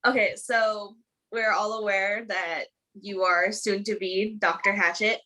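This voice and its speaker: A woman moderating a panel, reading out questions in a measured way. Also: mid-range voice, steady tone, sharp tone, poor audio.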